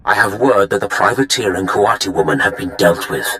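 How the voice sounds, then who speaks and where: A man acting a commanding dark lord in a video game, formal and imperious. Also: fairly low pitch, animated, a little fast. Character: commanding male dark lord directing his armies.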